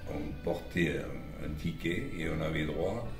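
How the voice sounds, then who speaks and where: Very deep-voiced Italian-born man, about 80. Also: vocal fry. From Italy. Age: older, about 80. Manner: slow, halting, with frequent restarts. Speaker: interviewee. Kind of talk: reminiscing interview. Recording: roomy echo with background music.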